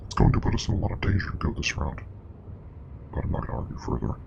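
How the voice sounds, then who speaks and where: A man playing a grave, knowing investigator and parasite expert in a scripted web horror drama, explaining in a measured, clinical way with a breathy, gravelly voice. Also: very deep voice, big pitch swings, lo-fi sound, noisy background, exaggerated voice. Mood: clinical, ominous. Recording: music playing behind.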